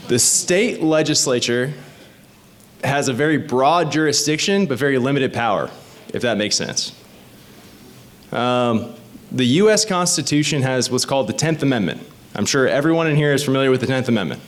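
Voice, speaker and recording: A man's voice: mid-range voice, expressive, normal speed. A man, a state legislative candidate giving an informal, explanatory talk to a community group and asking the audience questions. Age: 30s. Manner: conversational and explanatory, often asks the audience questions.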